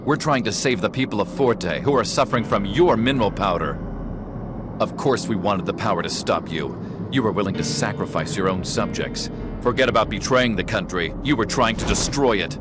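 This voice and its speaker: An actor playing a righteous, resolute rebel fighter in a video game cutscene, making firm, grave declarations. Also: male voice, fairly low pitch, moderate pace, natural voice. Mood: defiant. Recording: music playing behind the voice.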